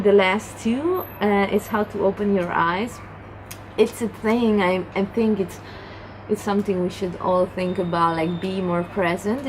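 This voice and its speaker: A young woman from Romania with a Romanian accent, chatting through a casual book review in halting English with many restarts. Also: mid-range voice, poor audio. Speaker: book vlogger. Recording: clear background hum.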